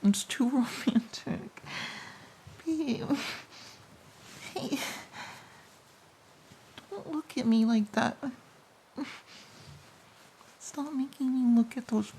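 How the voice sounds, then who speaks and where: A woman playing a playful, pouty, affectionate girlfriend in an intimate romantic audio roleplay, speaking softly and hesitantly with a breathy voice. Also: a little high, very slow, long pauses, a little hiss or hum, exaggerated voice. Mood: playful protest. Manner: soft, giggly, hesitant, cooing.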